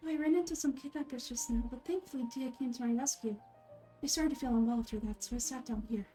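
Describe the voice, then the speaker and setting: Girl voicing a gentle frail noble lady in a game, resigned, soft and formal, music behind. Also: a little high, fast, natural voice. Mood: calm. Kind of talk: amateur game dialogue voice-over.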